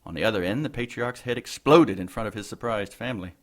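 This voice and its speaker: Man playing a deep-voiced, aging corrupt bishop. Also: lightly acted. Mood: grave, measured. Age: older. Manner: formal, measured storytelling diction. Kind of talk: audio drama acting.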